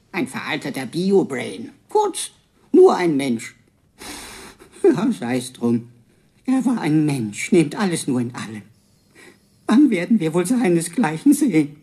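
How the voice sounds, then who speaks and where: A woman's voice: sits low, big pitch swings, a little slow, darkish tone, breathy edge, full character voice. A woman playing a chattering, devoted computer companion in a radio drama, speaking in florid, pompous, gushing cascades of words. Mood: snide eulogy.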